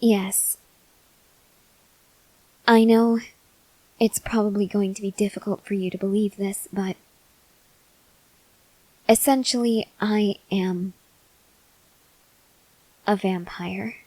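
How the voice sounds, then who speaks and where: A woman playing an earnest, desperate female vampire scientist in an ASMR roleplay, speaking haltingly in a breathy voice that drifts into whispers. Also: mid-range voice, steady tone, a little mellow, no room sound, rough recording. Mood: hesitant, pleading. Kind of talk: ASMR roleplay, slow dramatic monologue to the listener.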